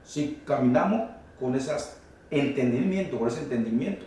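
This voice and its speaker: A man's voice: medium pitch, lively intonation, moderate pace, mellow, recorded from a distance, slight background noise. A man from Colombia preaching a fervent, emphatic sermon to a congregation that answers back, caught by a room microphone in a church hall. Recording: very echoey hall, voice from afar.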